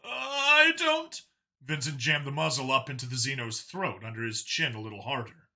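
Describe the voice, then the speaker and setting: A man's voice: medium pitch, brisk, sharp tone. A man reading science fiction as a dramatic scripted narration. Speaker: story narrator.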